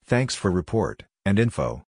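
Deep-voiced man reading practice phrases. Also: big pitch swings, unhurried, darkish tone, a little hiss or hum. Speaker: Morse code practice narrator. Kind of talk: scripted phrase readout for practice drill.

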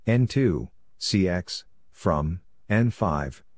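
A man reads out short scripted phrases as a practice-drill narrator, in clipped, deliberately enunciated pieces. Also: low-pitched, expressive, darkish tone, a little hiss or hum.

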